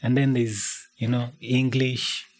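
A man's voice: medium pitch, little pitch movement, a little slow, dry recording. A Kenyan man whose first language is Kikuyu, a guest in a casual interview, laid-back and agreeing often with repeated yeahs.

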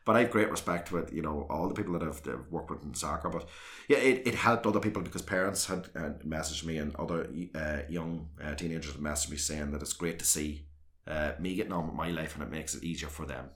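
A man with a South Armagh accent and a very low, deep voice. He talks openly and rambles, circling back with restarts and fillers. He sounds like an earnest guest in a sit-down conversation. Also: fairly even intonation, quick pace, a bit bright. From South Armagh, Northern Ireland. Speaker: guest, councillor and former soccer manager.

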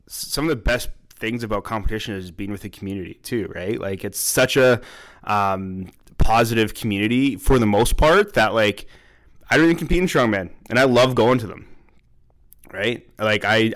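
A man's voice: fairly low pitch, a bit bright. A man co-hosting a podcast in casual chat, rambling with lots of fillers and laughing and swearing easily. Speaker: podcast co-host.

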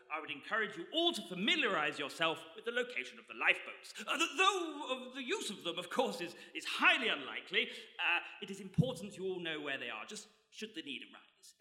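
Man acting a bright, bumbling ship's captain. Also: high-pitched, exaggerated voice. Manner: stammering, hesitant, formal announcing. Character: bumbling, nervously jovial male ship's captain. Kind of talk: live comedy radio play acting. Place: stage in a hall before a live audience. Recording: echoey hall, live stage recording.

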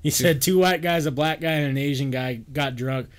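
A man's voice: fairly high pitch, fairly quick, slight background noise. A man chatting rowdily with friends, joking crudely and laughing often. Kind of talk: rowdy casual chat with friends.

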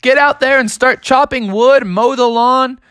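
A storyteller voicing bear parents who reel off chores in a high, drawn-out voice. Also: fairly even intonation, a bit bright, clean tone, no echo, clean studio sound. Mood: bossy. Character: parents bossing their cub about chores.

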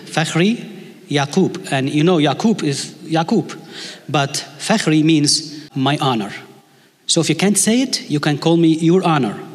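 A man's voice: on the high side, expressive, measured pace. A man with an Egyptian Arabic accent, a native Arabic speaker, preaching a sermon as a visiting pastor with a playful, joking manner. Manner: playful, jokes with the congregation. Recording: long hall reverb.

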